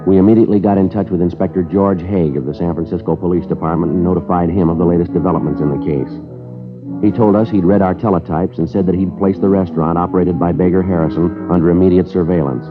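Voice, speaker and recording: An actor playing a terse, hard-boiled male police sergeant who narrates a 1950s radio crime drama in a clipped, flat, matter-of-fact way. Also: low-pitched, close to an everyday voice. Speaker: detective narrator. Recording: tinny narrow-band old radio transcription with music.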